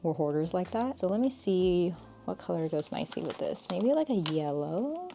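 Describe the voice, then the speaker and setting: A young woman with a low-key, monotone voice, calmly chatting while she reviews art markers. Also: vocal fry, a little hiss or hum. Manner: calm, unhurried, says "like" often. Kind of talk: casual product review chat.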